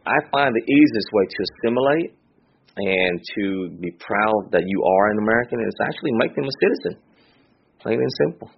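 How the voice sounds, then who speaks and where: A man's voice: lowish voice, expressive, fairly quick, vocal fry, breathy edge, dull audio. A man in local politics answering an interview in a plainspoken way with a few fillers. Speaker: city council member.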